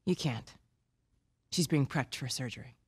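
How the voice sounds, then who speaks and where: An actress playing a weary, resolute middle-aged militia leader in a video game, husky and measured in a firm way. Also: female voice, rumbling low, no room sound, clean studio sound, heavily acted. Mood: grave, firm. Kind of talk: naturalistic video game acting.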